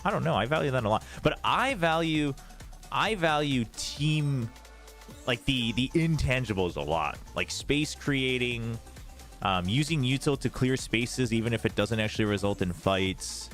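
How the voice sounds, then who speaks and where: A man's voice: medium pitch, animated, measured pace, a bit bright, soft background music. A man with an American East Coast accent chatting informally as he hosts a casual esports livestream. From United States. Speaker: esports watch party host and analyst. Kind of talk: casual livestream commentary and chat.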